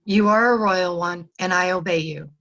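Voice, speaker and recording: A woman playing a courteous gentleman messenger in readers' theatre Shakespeare, with formal, declarative delivery. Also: lowish voice, normal speed, dull audio. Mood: respectful.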